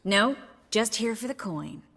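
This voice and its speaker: A pragmatic young sorceress from a fantasy game. Her medium-pitched voice is self-possessed and dryly ironic, edged with impatience. A long hall-like reverb hangs on it. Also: animated, unhurried, gravelly, fairly bright tone, exaggerated voice. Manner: dry, ironic, articulate. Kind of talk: video game acting.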